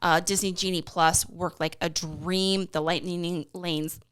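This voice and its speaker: A woman's voice: on the low side, fairly even intonation, unhurried, fairly bright tone, clear, resonant tone. A middle-aged American woman chatting casually and enthusiastically as she hosts a vlog, in an informal way. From California, United States. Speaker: vlog host. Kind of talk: casual conversational recap.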